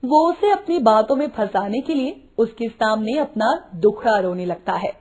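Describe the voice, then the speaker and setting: A woman's voice: fairly high pitch, lively intonation, moderate pace, darkish tone. A woman reading a scripted moral story as its narrator.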